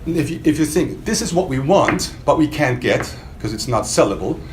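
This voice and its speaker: A man's voice: on the high side, animated, moderate pace. A man giving a spontaneous, emphatic product demonstration to a small audience, quizzing his listeners with rhetorical questions. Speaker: product demonstrator for a loudspeaker maker. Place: indoor hotel demo room. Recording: roomy trade show demo, faint background noise.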